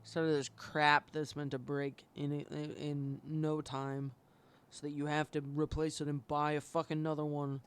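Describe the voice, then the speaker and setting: A woman live-streaming a game with casual, sweary commentary and expressive reactions. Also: sits low, normal speed, a little hiss or hum.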